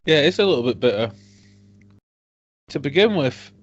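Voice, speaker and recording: A man co-hosting a casual whisky podcast, dry and a bit hesitant, often trailing off quietly. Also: fairly high pitch, animated, moderate pace. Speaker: podcast co-host. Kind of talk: casual tasting chat. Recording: dull lo-fi home podcast audio.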